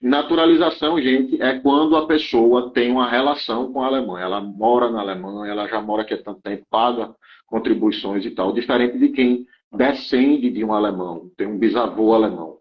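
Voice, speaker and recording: A man walks through a set of rules in an interview setting at a steady, middling pitch. He keeps checking that his listener follows and often restarts half-finished phrases. The sound is a little dull and muffled. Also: fairly quick. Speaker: guest explaining German immigration rules. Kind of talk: explanatory interview talk.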